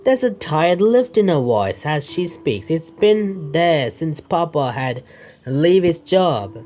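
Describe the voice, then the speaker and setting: A man reading game text aloud as a streamer, halting and stumbling, with casual asides. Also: on the high side, sing-song, darkish tone. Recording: music under the voice.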